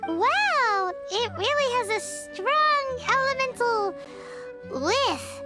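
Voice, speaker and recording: An actress voicing a tiny, chirpy fairy girl companion in a video game, excitable and full of exclamations. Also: extremely slow, heavily acted. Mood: excited. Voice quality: squeaky, very high. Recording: game music underneath.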